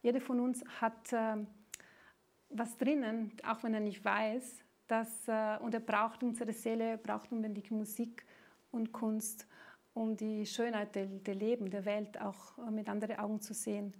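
A woman's voice: on the high side, level tone, measured pace, a little mellow. A middle-aged woman with an Italian accent, a singer-songwriter talking in an interview, hesitant and searching for words with many fillers. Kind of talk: interview answers. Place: studio.

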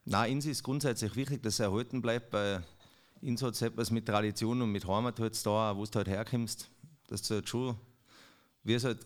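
A man from Austria with a Pinzgau dialect accent, a dialect poet interviewed as a guest, talking in rambling, halting sentences. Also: on the low side, steady tone. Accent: Pinzgau dialect, Salzburg. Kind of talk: radio interview.

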